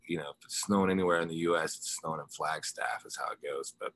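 A man's voice: low voice, fairly even intonation, quick pace, a bit bright, no echo. A man being interviewed as a musician, chatting informally and telling rambling anecdotes. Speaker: musician interview guest, rock singer and guitarist. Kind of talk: casual chat, telling anecdotes.

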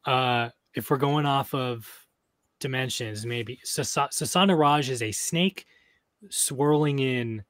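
A man's voice, recorded dry and close with no room sound, talks through a theory at an unhurried pace. He stops and restarts often, padding his thoughts with "uh" and "um" as he goes. It sounds like relaxed podcast chat. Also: medium pitch, dry recording. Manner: thinks aloud with hesitant fillers and restarts. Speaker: podcast co-host discussing game lore.

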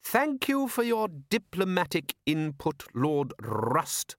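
A man's voice: a little high, wildly swooping pitch, takes it slowly, pitched above the performer's own voice, full character voice. An audiobook city ruler performed by a man, coolly commanding and shrewd. He speaks formally and precisely, with dry sarcasm, in a raised voice set in a dry, echo-free recording. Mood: dryly sardonic. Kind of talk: audiobook character voice.

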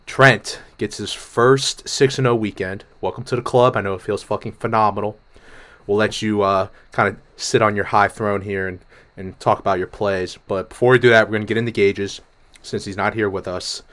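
A man hosting a casual sports betting podcast, hoarse from a cold, talking with energy and swearing freely. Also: on the low side, normal speed. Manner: energetic, profane, jumps between topics. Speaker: podcast host.

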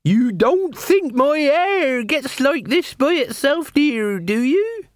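A man's voice: extremely high pitch, very animated, slow pace, dry recording, studio quality, voice pushed up, full character voice. A man voicing a bossy, whining old beggar queen with rustic, ungrammatical speech in a story. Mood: wheedling.

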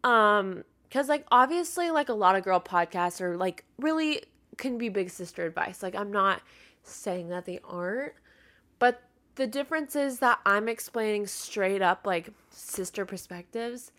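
A woman's voice: mid-range voice, animated, measured pace, fairly bright tone. A young woman in her twenties hosting her own podcast in casual chat, sounding congested and nasal from being sick, filling her talk with "like". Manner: chatty, fills with "like". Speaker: podcast host.

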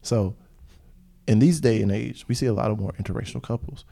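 Man, podcast host, lowish voice, loose and joking, swears a lot, laughs often. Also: brisk, creaky, warm tone. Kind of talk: casual podcast chat.

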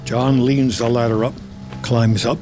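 A man's voice: mid-range voice, little pitch movement, measured pace. A man reading scripted screenplay narration of a film story. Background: music.

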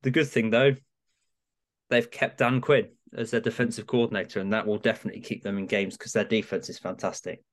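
A man's voice: on the low side, flat delivery, brisk. A man giving casual, analytical opinions as a guest pundit on a sports podcast.

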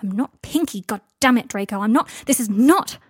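A woman voicing a gruff, irritable man in an audiobook story, blunt, halting and frustrated. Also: fairly high pitch, steady tone, no echo, clean studio sound. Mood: exasperated outburst.

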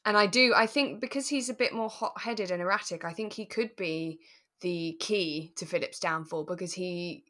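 Female podcast co-host, lower register, thoughtful and explanatory, relaxed discussion. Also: moderate pace. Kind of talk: relaxed discussion of a radio drama.

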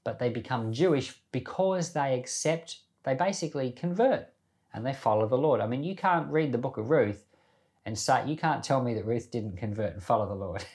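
A man's voice: medium pitch, animated, moderate pace, darkish tone. Conversational man giving informal Bible teaching. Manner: conversational, poses questions to listeners. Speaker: Bible teacher and podcast host.

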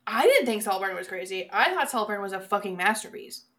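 Female podcast co-host, medium register with plenty of fry, sweary and emphatic in relaxed movie talk. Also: medium pitch, a little fast. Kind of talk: casual film chat.

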